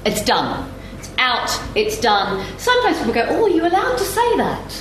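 A woman's voice: sits high, wildly swooping pitch, normal speed, fairly bright tone. A woman panellist speaking emphatically in a live discussion, repeating phrases for stress. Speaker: festival panellist. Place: indoor live event venue. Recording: echoey room, clear background noise.

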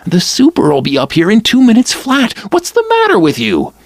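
A man's voice: high voice, huge pitch swings, normal speed, dry recording, pitched above the performer's own voice, full character voice. A man voicing a hapless young man, tense and exasperated. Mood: strained.

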